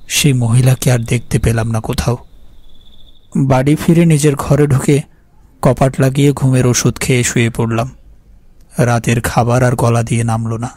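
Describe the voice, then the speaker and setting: A man's voice: mid-range voice, dark tone, lightly acted. A man acting as a haunted, guilt-ridden young man, narrating his horror story in a brooding, fearful way. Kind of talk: scripted dramatic horror narration.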